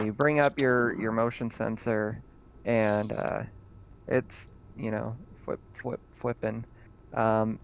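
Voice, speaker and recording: A man narrating as game master in a relaxed, chatty way, with plenty of fillers. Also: medium pitch, vocal fry, clean tone.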